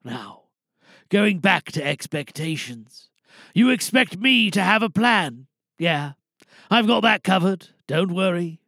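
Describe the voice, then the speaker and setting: A man playing a weary, resolute older insurance whistleblower, giving a dramatic speech to a crowd with deliberate pauses. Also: high-pitched, very animated, creaky, fairly bright tone, no room sound, studio quality, exaggerated voice. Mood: defiant, rousing.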